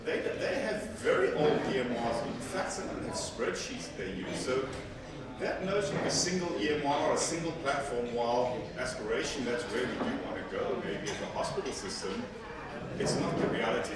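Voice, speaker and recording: A man gives a conversational talk to a seated audience in a large hall, often restarting his phrases. His voice is heard from far off through a handheld mic, and it rings with a strong echo. Audience noise is clearly heard behind him. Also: medium pitch. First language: Afrikaans. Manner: conversational, self-correcting with repeated words. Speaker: conference presenter. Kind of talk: technical conference talk. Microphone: handheld mic, filmed from far back.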